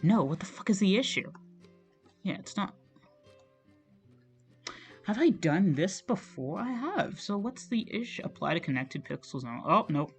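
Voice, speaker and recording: A chatty streamer talks loosely while drawing, voice slightly strained and scratchy, self-conscious and quick to laugh and swear. Middling pitch. Faint music sits softly behind the talk. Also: very animated. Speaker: digital artist streaming. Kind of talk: casual rambling commentary while drawing.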